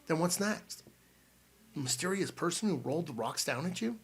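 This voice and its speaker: A man's voice: on the high side, animated, moderate pace, slightly rough, pitched above the performer's own voice. A man reading an audiobook and voicing an easygoing teenage boy.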